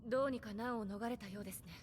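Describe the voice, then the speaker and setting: A woman's voice: fairly high pitch, quick pace, slight background noise, lightly acted. A woman voicing a dutiful, level-headed attendant, formal and deferential, in an anime game, over music. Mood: calm, concerned. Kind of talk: Japanese anime game voice acting. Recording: music behind the voice.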